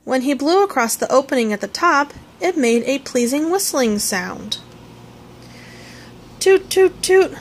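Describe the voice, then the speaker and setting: Woman, high lively voice, expressive children's storybook narration, some background noise. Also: normal speed, hardly any pauses. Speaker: storybook reader. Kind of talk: scripted children's story narration, read aloud.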